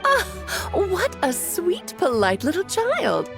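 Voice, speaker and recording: A woman's voice: extremely high pitch, wildly swooping pitch, slow, vocal fry, music in the background, heavily acted. A woman voicing a breezy, playful witch auntie in a video game, coaxing sweetly and theatrically as if to a child.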